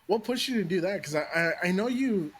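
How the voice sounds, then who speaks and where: A male podcast host with a somewhat high speaking pitch. He chats casually with his guest, hyped about the music and dropping swear words freely. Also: expressive, fairly quick, slightly rough. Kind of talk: casual interview chat.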